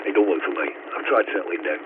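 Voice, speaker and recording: Crackly CB radio Northern English bloke, low-voiced. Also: male voice, brisk. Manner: blunt, sweary, joking. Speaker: CB radio operator. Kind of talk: casual CB radio banter. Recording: tinny, very noisy CB radio link.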